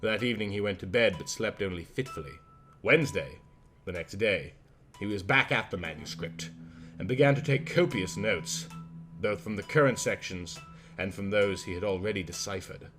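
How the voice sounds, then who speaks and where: A man with a fairly low voice narrates a literary horror story in a scripted audiobook style. Plucked guitar music plays clearly behind his reading. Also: moderate pace, fairly bright tone.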